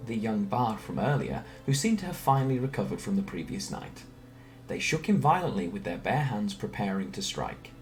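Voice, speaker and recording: A man reading a scripted story aloud in a theatrical, expressive way. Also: on the low side. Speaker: storyteller reading fan narration. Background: background music.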